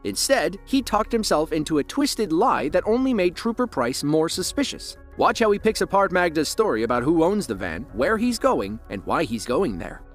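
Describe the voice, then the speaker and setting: Lively male documentary narrator. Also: fairly high pitch, moderate pace, few pauses, faint music behind.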